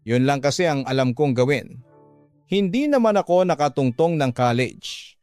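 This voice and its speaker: A man's voice: mid-range voice, animated, moderate pace, darkish tone, clear, resonant tone, dry recording, faint music behind, natural voice. A man playing a frank, earnest young working-class older brother who admonishes and confides in a radio drama. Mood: frank confession.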